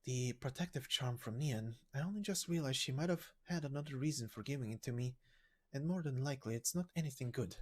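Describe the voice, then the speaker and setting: A man's voice: medium pitch, brisk, close to an everyday voice. A man voicing a wary, blunt game character who mutters in a matter-of-fact, grumbling way. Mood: suspicious.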